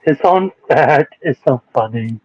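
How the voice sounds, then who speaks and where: A man in his twenties hosting his own stream, relaxed and reading chat comments aloud. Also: medium pitch, unhurried, a crowd in the background. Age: 20s. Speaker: streamer host. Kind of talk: casual chat. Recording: dull lo-fi sound.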